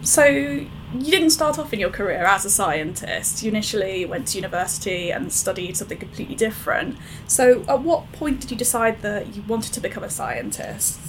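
A woman's voice: mid-range voice, expressive, a little fast, hardly any pauses, slight background noise, rough recording. A woman narrating a scripted profile and asking friendly interview questions for a science podcast.

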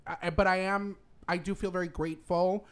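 A man's voice: sits high, steady tone, moderate pace, clean tone. A man co-hosting a comedic chat show, talking in a playful, campy way and laughing often. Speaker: co-host.

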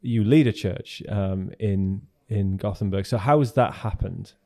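A man's voice: lowish voice, unhurried, creaky, dark tone. A man hosting a podcast interview, encouraging his guests with frequent affirmations. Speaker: podcast host.

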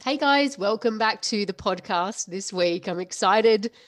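A woman's voice: mid-range voice, lively intonation, a little slow, no room sound. Nasal, stuffy-nosed woman podcast host. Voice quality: slightly nasal. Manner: frank, tells it like it is, laughs often. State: stuffy nose after the flu. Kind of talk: unscripted business podcast monologue.

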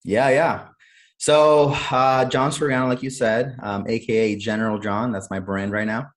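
A man's voice: medium pitch, steady tone, moderate pace. A man in his thirties from Cleveland, born in Honduras, telling his life story as a podcast guest in an earnest way, with many "uh"s and repeated words. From born in Honduras, raised in Cleveland, Ohio. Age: 30s. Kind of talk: candid conversational storytelling.

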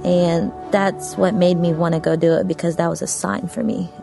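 Woman from Afghanistan, lowish, gravelly voice, reflective testimony, music bed underneath. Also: flat delivery, moderate pace. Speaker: church member giving testimony. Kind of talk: personal testimony video. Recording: music playing behind the voice.

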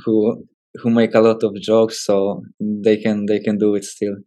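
A Polish man in his twenties with a Polish accent, giving casual interview answers as a footballer in hesitant, halting English. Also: on the low side, flat delivery, warm tone. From Poland. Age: 20s. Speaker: professional footballer, interview guest.

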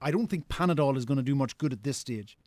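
An actor playing a farmer's brisk, exasperated brother in a radio drama, scolding impatiently in an Irish accent. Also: male voice, medium pitch, expressive, darkish tone, dry recording, close to an everyday voice. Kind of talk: naturalistic radio drama acting.

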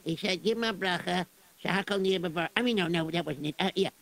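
A man's voice: high voice, fast talker, vocal fry, fairly bright tone. An actor playing a bumbling male servant who stammers and fumbles for words.

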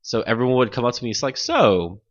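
A man's voice: medium pitch, lively intonation, quick pace, no echo, highs cut off. A man chatting casually about video games as a podcast host, rambling and often given to laughter.

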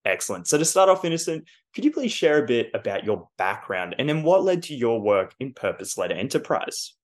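Medium-pitched male podcast host. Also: big pitch swings, a little fast. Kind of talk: scripted introduction and polite interview questions.

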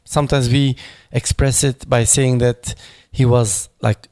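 A man speaking at a memorial panel, halting and searching for words, with many fillers and restarts in English that is not his first language. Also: mid-range voice, fairly even intonation, darkish tone. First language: not English; foreign accent in Turkish noted.

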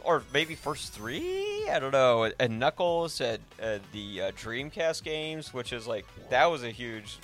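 A man with a mid-pitched voice hosts a casual film discussion. He talks informally and freely airs his opinions, rambling from thought to thought. Music plays faintly behind him. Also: very animated, a little slow, hardly any pauses, fairly bright tone. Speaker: podcast host.

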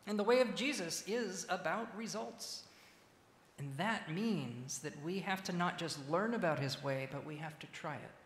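A man preaching a sermon as a church minister, speaking in measured, deliberate phrases with pauses. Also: high-pitched, fairly bright tone. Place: large reverberant church interior. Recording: echoey, reverberant hall with faint noise.

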